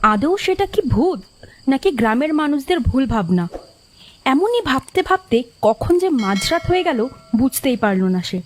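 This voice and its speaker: A woman's voice: sits high, big pitch swings, moderate pace, a little hiss or hum. A woman giving scripted narration of a ghost story as its narrator.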